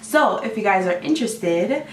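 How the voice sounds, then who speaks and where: A woman vlogger, hoarse from a cold, chatting excitedly to camera with lots of fillers. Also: mid-range voice, normal speed, roomy sound, recorded from a distance, slight background noise. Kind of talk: casual talk to camera.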